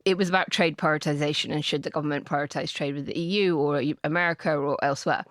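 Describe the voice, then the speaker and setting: A woman's voice: low voice, fairly even intonation, fast talker, dry recording. British woman on a politics podcast, emphatic, repeats herself for effect, really dry sound. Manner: emphatic, repeats phrases, acts out imagined exchanges. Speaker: podcast co-host and polling campaigner. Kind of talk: political panel discussion.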